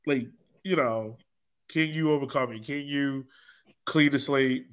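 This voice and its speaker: A man's voice: medium pitch, a little slow, fairly bright tone. A man hosting a casual sports podcast discussion, talking informally and conversationally with his co-hosts. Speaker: podcast host.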